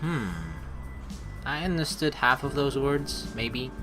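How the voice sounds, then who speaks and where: A woman's voice: rumbling low, takes it slowly. A woman hosting a let's play, switching between reading game text aloud and casual, playful joking commentary. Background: game music.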